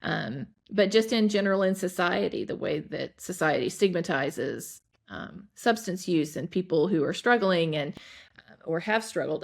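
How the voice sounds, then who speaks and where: A woman hosting a podcast conversation, speaking thoughtfully with frequent pauses and restarts. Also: on the low side, gravelly. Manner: thoughtful, many fillers and restarts. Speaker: podcast host. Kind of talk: reflective conversation.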